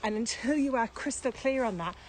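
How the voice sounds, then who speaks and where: A woman's voice: fairly high pitch, brisk, poor audio. British woman explaining, with background noise. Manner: emphatic, repeats key phrases, poses rhetorical questions. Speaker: podcast co-host and virtual training expert. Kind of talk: casual podcast conversation, explaining.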